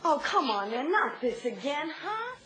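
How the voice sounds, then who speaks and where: A woman's voice: sits high, sing-song, a little slow, a bit bright, heavily acted. An actress playing a feisty, exasperated young female reporter in an action film. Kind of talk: film acting. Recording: very noisy film soundtrack.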